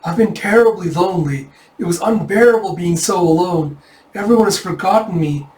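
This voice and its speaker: Man putting on a high, pleading young princess. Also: moderate pace, darkish tone, close to an everyday voice. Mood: tearful, relieved. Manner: pleading, overwrought. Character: tearful, lonely young princess in a manga dream. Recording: echoey room, far from mic.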